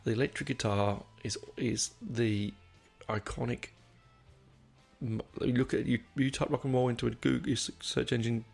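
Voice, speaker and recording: A man hosting a music podcast in a casual, rambling way, his voice croaky from a cold. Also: mid-range voice, steady tone, moderate pace, a little mellow. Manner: rambling, many ums and restarts. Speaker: podcast host.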